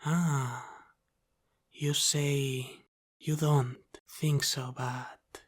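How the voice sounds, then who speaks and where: Casting: male actor as Italian-American mob boss for ASMR roleplay. Extremely slow, even-toned, calmly menacing and teasing, often sinking to a whisper. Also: medium pitch. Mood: cool, suspicious. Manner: deliberate, halting, teasing, often dropping to a whisper.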